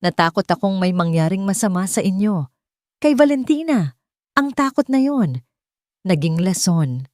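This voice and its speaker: A woman's voice: medium pitch, sing-song, moderate pace, pauses often, a little mellow, dry recording, clean studio sound, lightly acted. A woman with a Filipino accent voicing a meek, remorseful old housekeeper in an audio storybook. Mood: remorseful, fearful. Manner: meek, subdued.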